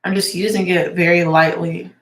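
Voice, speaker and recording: Congested young woman rambling through her makeup routine, lowish voice. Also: flat delivery, moderate pace, gravelly. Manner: chatty, rambling, lots of "like". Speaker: beauty vlogger and teacher. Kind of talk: casual get-ready-with-me chat. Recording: echoey room.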